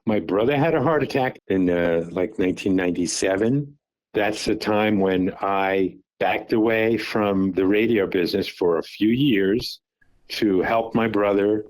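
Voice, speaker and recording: An older man in his seventies talks on a phone call, his voice sitting low. He recalls things in a halting way, repeating words and restarting his sentences as he searches his memory. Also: darkish tone. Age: 70s. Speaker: father recounting family business.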